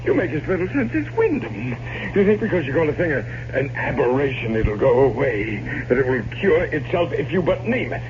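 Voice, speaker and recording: Haunted unstable heir in old radio horror, highish voice swinging all over, stammery, noisy muffled tape. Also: male voice, big pitch swings, a little fast. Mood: bitter, agitated. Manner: theatrical, stammering, repeating phrases. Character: tormented, morbid, unstable last heir of a doomed family. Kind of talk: old-time radio horror drama acting.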